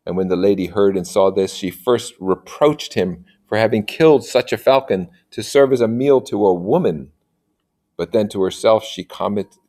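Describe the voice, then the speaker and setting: A man with a fairly low voice reads literature aloud like a teacher. He mixes plain narration with conversational, explanatory asides. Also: warm tone. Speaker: teacher reading and commenting on literature. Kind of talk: read-aloud story with informal explanatory asides.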